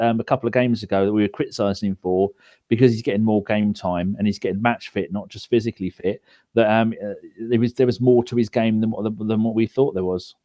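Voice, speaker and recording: A man co-hosting a football podcast, talking in a mellow, low voice. He strings his points into long run-on questions full of fillers. The sound is close and dry. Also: dry recording. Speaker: podcast co-host. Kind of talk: casual football chat.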